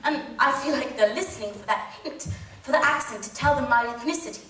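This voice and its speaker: A woman's voice: sits high, brisk, a bit bright. Wry well-spoken English woman. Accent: British English. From England. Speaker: speaker sharing a testimony. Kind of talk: personal testimony on stage. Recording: echoey hall, mic far off.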